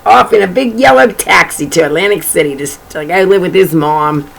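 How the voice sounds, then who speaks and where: A woman's voice: lowish voice, fairly quick, creaky, poor audio. A woman reading a children's storybook aloud in an informal way, with chatty, folksy asides about the pictures.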